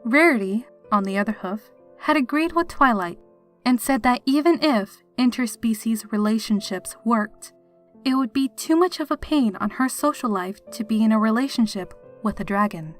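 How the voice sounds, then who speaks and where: A woman's voice: on the high side, animated, normal speed. A woman reading a horror story aloud as a scripted, dramatic narrator. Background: background music.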